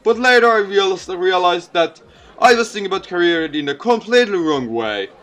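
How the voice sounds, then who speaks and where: A man's voice: sits high, expressive, fairly quick, a bit bright, voice pushed up. A man voicing a jovial, earnest mayor from a visual novel, giving an encouraging, folksy public speech.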